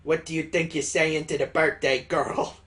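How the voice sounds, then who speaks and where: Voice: medium pitch, level tone, moderate pace, fairly bright tone, lightly acted. Bossy, teasing daughter put on by a game streamer. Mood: scornful, scolding. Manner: scolding, blunt, ordering others around. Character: bossy, teasing birthday girl, mocking her father.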